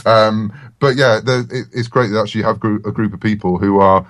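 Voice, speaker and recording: A man talking casually as a writer guest in a podcast interview, telling stories in a self-deprecating, halting way. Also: lowish voice, fairly even intonation, no room sound.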